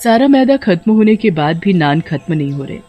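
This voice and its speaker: A man's voice: high-pitched, big pitch swings, normal speed, lo-fi sound. A man narrating a scripted story as a storyteller. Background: music.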